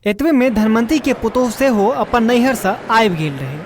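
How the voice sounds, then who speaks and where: A woman's voice: a little high, expressive, moderate pace, a little hiss or hum. A woman with a Maithili accent narrating a scripted folk story as the storyteller.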